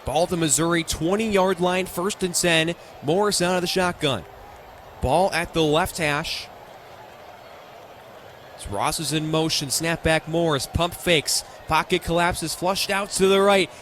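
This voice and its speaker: A fairly high-pitched American man works as a radio play-by-play announcer at a football game. He delivers the action in short, clipped phrases. Outdoor stadium noise is audible behind him. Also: steady tone, moderate pace, a bit bright. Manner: clipped present-tense phrases.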